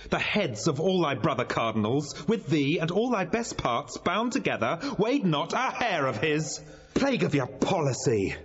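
A bitter, vengeful lord in a Shakespearean radio play. His husky, raised voice swings fiercely as he declaims. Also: fairly high pitch, sing-song, normal speed, dull audio. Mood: furious, accusing. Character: hot-tempered noble lord, bitterly accusing and vengeful. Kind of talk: classical Shakespearean audio drama acting.

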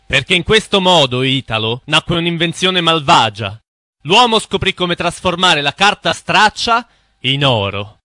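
A man's voice: on the high side, very animated, a little slow, pushed and bright, dry recording, studio quality, full character voice. A man playing an intense, bitter cartoon crusader with a grave, preachy, dramatic delivery. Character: intense, bitter conspiracy-minded man on a crusade against bankers. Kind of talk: dubbed cartoon dialogue.

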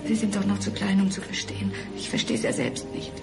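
An actress playing a frightened, despairing condemned mother in a radio play, halting and breathy, often near a whisper. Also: medium pitch, animated, natural voice. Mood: fearful, anguished. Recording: music under the voice.

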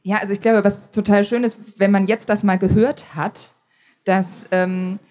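A woman's voice: medium pitch, fairly even intonation, moderate pace, darkish tone. A woman speaking casually as a guest in an on-stage interview. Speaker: podcast author, interview guest. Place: stage at a book fair.

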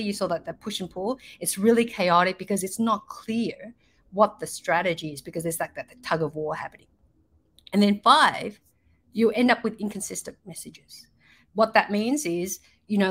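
A middle-aged woman with a medium-pitched voice gives an online business presentation. She explains things step by step in a conversational way, often adding little check-ins to the listener. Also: fairly bright tone. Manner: explanatory, checking in with "right?" and "you know". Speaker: startup marketing speaker. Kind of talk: slide presentation to an online audience. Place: indoors, on a video call.

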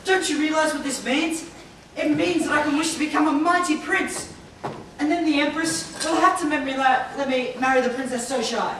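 A woman playing an excitable young hero in an amateur stage pantomime, gushing and eager. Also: high voice, a little fast. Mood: grand. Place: large theatre stage. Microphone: far camera. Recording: echoey hall from far off, loud noise.

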